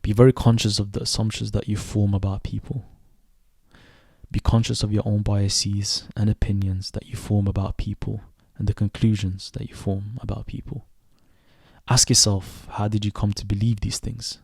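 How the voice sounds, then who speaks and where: Low, even-toned reflective young man. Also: creaky, slightly rough, no echo, studio quality. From Sweden. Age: 20s. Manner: thoughtful, measured, with pauses and self-corrections. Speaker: podcast host. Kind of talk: reflective solo podcast monologue.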